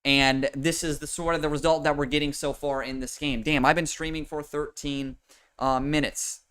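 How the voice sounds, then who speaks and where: A young man with a nasal, fairly high voice that comes across sharp and forceful. He rambles through casual live football commentary, swearing freely and filling gaps with ums and restarts, each word smooth and crisp on a desk mic. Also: fairly even intonation, moderate pace. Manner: rambling and profane, with many fillers and restarts. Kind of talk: casual livestream sports commentary. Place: home room with a fan. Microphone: desk microphone with noise suppression.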